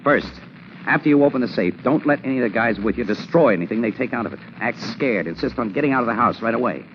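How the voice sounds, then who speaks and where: An actor playing a shrewd, easygoing crime photographer with wry, slangy speech in a 1940s radio drama. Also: male voice, medium pitch, animated, fast, close to an everyday voice. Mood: urgent, instructing. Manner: wry, plain-spoken, slangy. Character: shrewd easygoing male crime photographer turned amateur sleuth. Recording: muffled, noisy 1940s radio recording.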